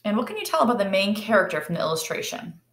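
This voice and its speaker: A woman reads to children and pauses to ask them questions. Her delivery is lively and teacherly, at a medium pitch. The sound is roomy, like a webcam at home. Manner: expressive, teacherly. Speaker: read-aloud storyteller. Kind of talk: picture book read-aloud with questions to children. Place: kitchen or dining area at home, indoors. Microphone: laptop or webcam at arm's length.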